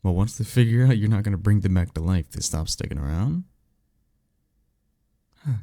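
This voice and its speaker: A blunt, sarcastic male vampire mentor played by a man for an audio roleplay. The voice sits low with a crackly fry and moves around expressively. The delivery is casual and exasperated, with conversational hesitations. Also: expressive, moderate pace, dark tone, no room sound, studio quality. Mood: casual, curious. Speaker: voice actor. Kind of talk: ASMR roleplay audio drama, one-sided conversation.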